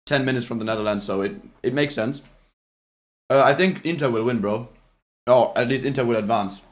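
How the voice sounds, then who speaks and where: A man's voice: mid-range voice, a little fast. A man with a German accent hosting a live football reaction stream, chatting casually and reading out viewer messages with many restarts. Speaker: livestream host reacting to a match.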